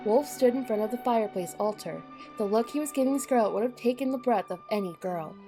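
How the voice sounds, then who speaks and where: A woman's voice: fairly high pitch, a little fast, over music. A woman narrating a scripted story as the narrator of an audio drama.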